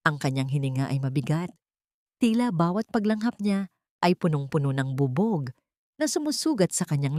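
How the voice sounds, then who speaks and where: Low-pitched Filipino woman narrating drama. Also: darkish tone, no echo, studio quality. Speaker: audiobook narrator. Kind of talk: dramatic scripted storytelling.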